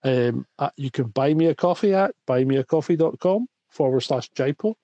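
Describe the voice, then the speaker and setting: A man with a mellow, slightly high voice co-hosts a casual podcast, trading dry, wry jokes. His sound comes through a slightly dull, dry remote line. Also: lively intonation, normal speed, no room sound. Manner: dry, wry humour, laughs often. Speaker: podcast co-host. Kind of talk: casual live-stream chat.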